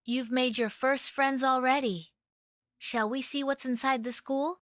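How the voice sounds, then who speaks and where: A gentle storybook fairy guide, acted by a woman, speaking in a high voice. She is encouraging and kind, recorded dry and close. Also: fairly even intonation, unhurried, no room sound. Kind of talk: children's bedtime story character acting.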